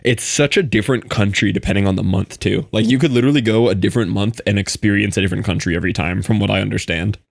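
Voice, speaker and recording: A man's voice: fairly low pitch, fast talker, vocal fry, hardly any pauses. A young man casually chatting with his live-stream audience while playing, reading out and answering chat messages.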